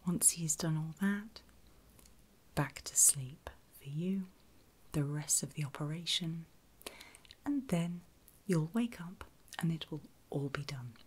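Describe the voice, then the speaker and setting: A woman whispering in a breathy, gentle and reassuring way, unhurried, as a nurse in an ASMR medical roleplay. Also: sits low. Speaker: ASMR performer playing a surgical nurse.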